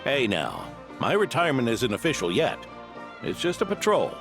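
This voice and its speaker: A man's voice: medium pitch, wildly swooping pitch, moderate pace. An actor playing a genial, jaunty older knight captain in a video game, grandfatherly and undaunted. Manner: jaunty, reassuring. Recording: game music underneath.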